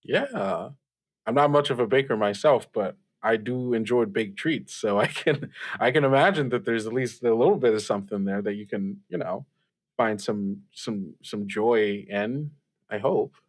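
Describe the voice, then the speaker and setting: A man playing a young, supportive student host of a radio call-in show, talking casually and haltingly in an improvised audio drama. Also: lowish voice, lightly acted. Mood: friendly, encouraging. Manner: halting, casual, chuckling, reassuring.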